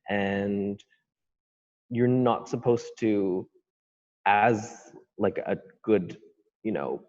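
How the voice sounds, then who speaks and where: A man from Canada, guest author on a podcast interview, speaking thoughtfully with many pauses and fillers. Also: fairly low pitch, dark tone, long reverb tail, highs cut off.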